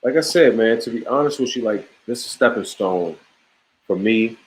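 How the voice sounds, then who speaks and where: A man talking as an interview guest in a relaxed, emphatic way, full of slang, restarts and boasts. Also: lowish voice, normal speed, rough recording. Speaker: podcast host, guest on the show. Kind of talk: casual interview chat.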